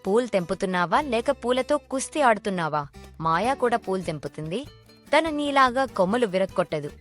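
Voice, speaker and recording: A woman's voice: mid-range voice, lively intonation, brisk, faint music behind, natural voice. A woman voicing an elderly, plain-spoken village woman in a cartoon moral story. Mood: scolding.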